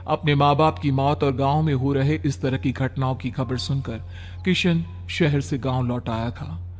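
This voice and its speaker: A man narrating an animated horror story in a dramatic, storytelling manner. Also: medium pitch, moderate pace, soft background music.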